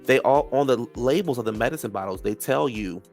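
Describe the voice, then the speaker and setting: A man's voice: mid-range voice, brisk, faint music behind. A man with a stuffed-up nose giving a chatty, sassy recap of a reality show, calling people "child" and "honey". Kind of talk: casual recap commentary.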